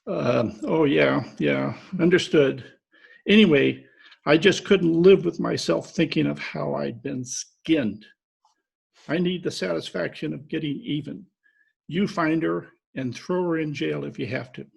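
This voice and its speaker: An actor playing a gullible, jilted fisherman from Maine in a radio play, halting and rueful, with a gravelly, husky voice. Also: fairly high pitch, exaggerated voice. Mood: aggrieved. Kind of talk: old-time radio drama acting. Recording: remote home recording over video call.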